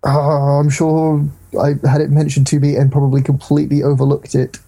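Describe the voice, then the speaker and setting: A man's voice: a little high, monotone, brisk, creaky, dark tone, breathy edge. A man co-hosting a casual games podcast, talking in a hesitant, rambling, self-deprecating way. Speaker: podcast co-host.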